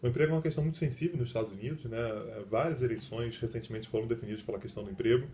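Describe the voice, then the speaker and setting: A man talks at a breakneck clip in a loose, joking back-and-forth as a co-host. His voice is of middle pitch, with a faint hum of noise behind it. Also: dark tone. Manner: joking, informal, rapid banter. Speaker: co-host, professor. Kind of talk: casual chat.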